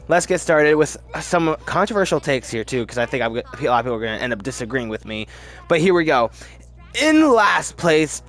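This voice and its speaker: A man's voice: mid-range voice, fairly bright tone. A man hosting a casual, opinionated commentary video, talking in a rambling, emphatic way and often interrupting himself. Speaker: video host.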